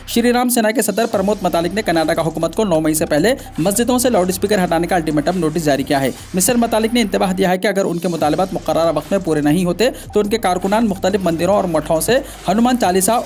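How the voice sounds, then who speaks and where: A man with a high-pitched voice presents scripted news at a rapid pace. His delivery is formal and announcer-like, moving through headlines and reports. Music plays underneath the voice. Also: a little mellow. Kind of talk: scripted news narration in formal Urdu register. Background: background music.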